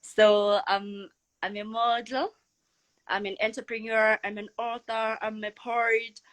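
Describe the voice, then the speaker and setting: Young woman, higher voice, self-assured, laughs a lot. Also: unhurried, low-quality recording. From Lesotho. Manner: confident, expressive, laughs often. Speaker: guest, model and entrepreneur. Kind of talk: casual interview answers.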